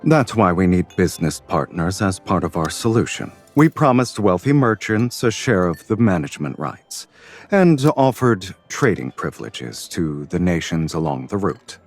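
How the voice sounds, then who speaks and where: An actor playing a confident, teasing male romance lead in a fantasy game, with an unhurried, composed, wry delivery. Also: deep voice, big pitch swings, soft background music, exaggerated voice. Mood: composed explaining. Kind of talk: scripted video game dialogue.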